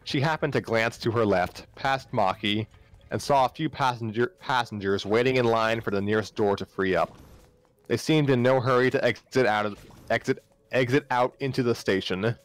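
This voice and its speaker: A man's voice: medium pitch, normal speed. A man narrating a story live on a stream, often stumbling and repeating phrases as he reads aloud. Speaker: narrator, livestream reader. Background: background music.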